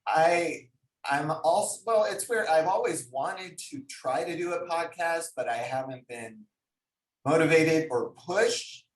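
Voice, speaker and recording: A man's voice: on the high side, a little slow. A man chatting casually as a talk show guest, self-deprecating and comically indignant, in an echoey room. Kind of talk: casual chat. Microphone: no dedicated microphone, far from mouth. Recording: echoey room, distant microphone.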